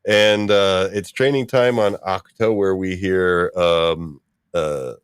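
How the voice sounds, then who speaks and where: Male host, low register, dry close sound, informal rambling fan commentary. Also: fairly even intonation, takes it slowly, smooth, dry recording. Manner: chuckling, rambling fan talk. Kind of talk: casual live-stream discussion.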